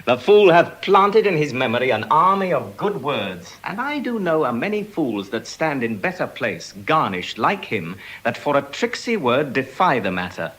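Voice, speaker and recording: An actor playing an urbane young husband, wry and affectionate, in classical Shakespearean style. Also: male voice, mid-range voice, sing-song, normal speed, few pauses, fairly bright tone, breathy edge, poor audio. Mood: amused, affectionate. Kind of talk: classical Shakespearean stage acting.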